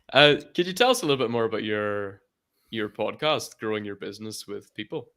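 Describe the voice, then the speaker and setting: A man's voice: lowish voice, lively intonation, moderate pace, a bit bright. A man in his twenties hosting an interview webinar, upbeat and welcoming. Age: 20s. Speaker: webinar host.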